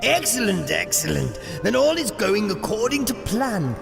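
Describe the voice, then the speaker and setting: An actor playing a giggling, theatrical scheming crime boss in a fan-made superhero audio drama, with drawn-out delivery. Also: male voice, high voice, sing-song, vocal fry, over music, exaggerated voice. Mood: gleeful menace. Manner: theatrical, drawn-out, laughing between phrases.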